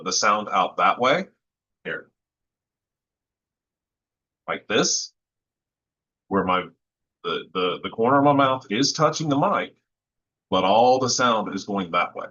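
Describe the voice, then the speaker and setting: A man with a low voice talking casually about recording vocals, thoughtful and rambling with lots of fillers and restarts. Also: sharp tone, lo-fi sound. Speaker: musician discussing vocal recording. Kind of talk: casual podcast chat.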